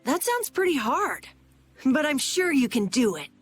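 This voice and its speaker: Earnest, high-voiced young boy. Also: very animated, a little slow, faint music behind. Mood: hopeful. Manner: earnest, boyish, plain-spoken. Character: earnest, eager young boy guild member. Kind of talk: video game dub acting.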